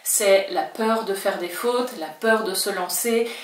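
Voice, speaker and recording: Woman, native French speaker, Spanish teacher; friendly explainer, mid pitch, a bit off-mic in an echoey room. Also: a little slow, distant mic. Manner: chatty, reassuring, acts out learners' worries in quotes. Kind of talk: talking-head language lesson.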